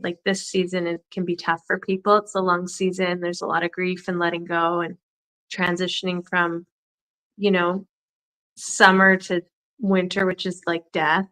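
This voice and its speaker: A woman who practices acupuncture, answering as a guest in a casual talk show chat with halting restarts. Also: fairly low pitch, bright, forceful tone. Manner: laughs often, halting restarts.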